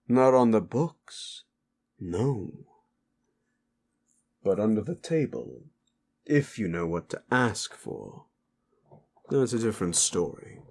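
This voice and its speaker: A man playing a stern, weary keeper of an underworld club in an audio drama, measured, scolding and authoritative. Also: lowish voice, sing-song, a little mellow, heavily acted. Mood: cool, measured. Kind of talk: dramatic audio roleplay acting.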